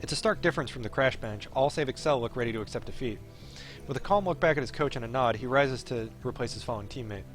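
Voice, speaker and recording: A man's voice: medium pitch, expressive, fast, a bit bright. A man reading a visual novel aloud on a stream, in dramatic narration with an esports commentary flavour. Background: faint game music.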